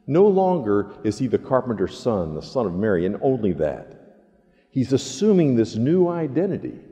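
A man's voice: mid-range voice, warm tone. Older male pastor preaching in an echoing church. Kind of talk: sermon with scripture reading and prayer. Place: large church sanctuary, indoors. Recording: echoey church sanctuary.

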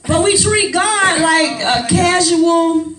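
A woman's voice: very high-pitched, slow pace. An older woman pastor preaching a sermon, with sing-song rises and chatty asides, filmed from a distance with the congregation calling back. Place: small church sanctuary, preaching at a clear pulpit on a low stage. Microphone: handheld mic, filmed by a far camera. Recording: roomy church sound from a distance with congregation.

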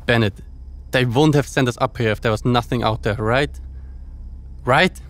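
A man's voice: medium pitch, moderate pace, a bit bright, lightly acted. A man playing a concerned spaceship crewman in an audio drama, questioning and reassuring. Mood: alarmed, insistent.

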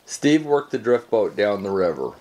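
A man giving calm, measured scripted voice-over narration for an outdoor video. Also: lowish voice.

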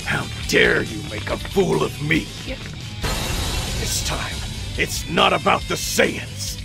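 Gravelly vengeful alien warrior. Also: male voice, fairly high pitch, lively intonation, slow pace, music in the background, heavily acted. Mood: furious, defiant. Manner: gruff, defiant. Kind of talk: anime game dub.

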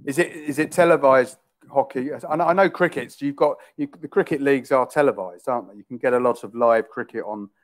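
A middle-aged Englishman with a London accent chats as an online English tutor, talking into a headset from a small room. He keeps rephrasing and repeating his questions as he goes. His pitch sits in an ordinary middle range. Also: a little fast. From England. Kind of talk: casual video-call conversation.

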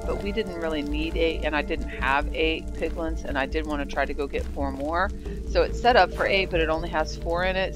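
Woman rambling through a game tour. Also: low voice. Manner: rambling, thinking aloud. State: congested from sinus trouble. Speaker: game server builder. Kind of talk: casual gameplay commentary. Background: background music.

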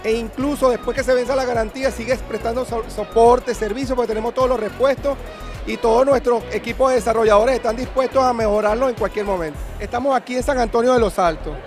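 A man giving an emphatic sales pitch for his company at a busy trade fair booth. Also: fairly even intonation, fast, over music. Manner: emphatic, list-like promotional delivery. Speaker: exhibitor presenting his company's scales. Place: busy indoor expo booth.

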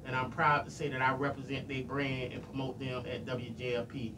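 A man's voice: mid-range voice, level tone, brisk. A middle-aged man hosts a casual live radio show, talking colloquially and earnestly and repeating himself. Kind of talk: casual live radio talk with shout-outs. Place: small radio studio, indoors. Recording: noisy, distant room microphone.

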